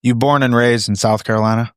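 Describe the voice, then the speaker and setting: A man's voice: medium pitch, fairly even intonation, fast, clear, resonant tone, no echo. Jokey male podcast host, dry studio sound. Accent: Pittsburgh. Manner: jokey, teasing, laughs at his own jokes. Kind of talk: casual podcast interview. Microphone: studio podcast mic with headphones.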